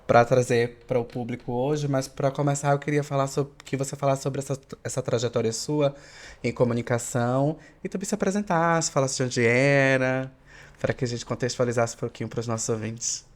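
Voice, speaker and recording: A man's voice: medium pitch, fairly even intonation, brisk. Rambling male podcast host. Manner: rambling, repeats himself while framing questions. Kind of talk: podcast interview hosting.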